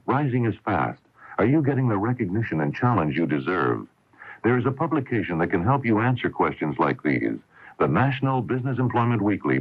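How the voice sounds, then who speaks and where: Low, husky American ad announcer on tinny radio. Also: male voice. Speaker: commercial announcer. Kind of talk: scripted radio advertisement. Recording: narrow, tinny vintage AM radio broadcast.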